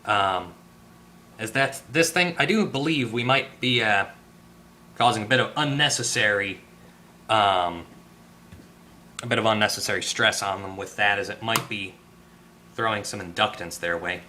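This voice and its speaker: Bright, low-voiced man thinking aloud. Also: moderate pace, pauses often, a little hiss or hum. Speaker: repair video host. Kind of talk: casual hands-on electronics tutorial. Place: at a workbench indoors.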